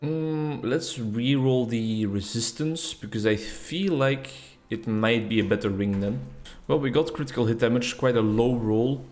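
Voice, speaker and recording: A man's voice: mid-range voice, a little slow, a little mellow. A young man, a native Dutch speaker, chatting in a rambling, reflective way while commenting on his gameplay. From Netherlands or Flanders. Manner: rambling, reflective, self-deprecating. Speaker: gaming YouTuber. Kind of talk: casual commentary over gameplay.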